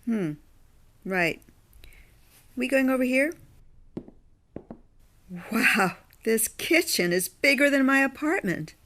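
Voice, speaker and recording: A woman's voice: sits high, lively intonation, slow, long pauses, fairly bright tone, a little hiss or hum, full character voice. A woman playing a blunt, independent blue-collar plumber with a casual, dry manner in an audio drama.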